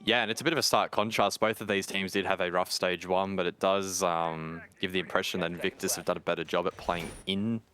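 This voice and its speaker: A man giving analytical colour commentary on a live esports match in a measured, wordy way. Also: low-pitched, fairly even intonation, a bit bright.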